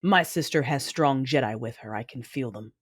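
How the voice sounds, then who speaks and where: A man playing a coldly cruel dark-side warlord in an audio drama, grave, menacing and deliberate in his delivery. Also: medium pitch, breathy edge, no echo. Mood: brooding. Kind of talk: first-person audio drama narration and dialogue.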